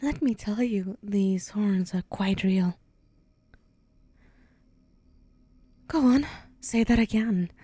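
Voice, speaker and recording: A woman voicing a haughty, teasing and menacing demon in an ASMR roleplay, condescending and deliberate, with breathy whispered moments. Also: mid-range voice, mellow, no room sound, natural voice.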